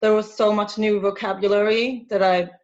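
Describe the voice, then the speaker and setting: A woman with Swedish as her first language, hosting an interview in English with soft, hesitant questions drawn from her own experience. Her voice sits at a middle pitch, and the audio comes through dull and lo-fi. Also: flat delivery, normal speed. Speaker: interview host. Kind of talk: casual interview. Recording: dull lo-fi sound.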